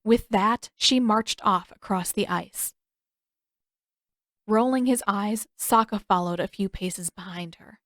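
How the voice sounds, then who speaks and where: A woman's voice: mid-range voice, takes it slowly, fairly bright tone, dry recording. A woman reading scripted story narration as an audiobook narrator.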